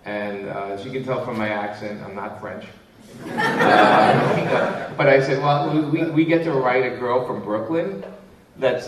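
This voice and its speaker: A man's voice: on the low side, recorded from a distance, background noise. Chatty New man on a panel. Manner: chatty, rambling anecdotes. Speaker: comic writer on a panel. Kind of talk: convention panel talk. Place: convention panel room, live audience. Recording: echoey room mic from afar.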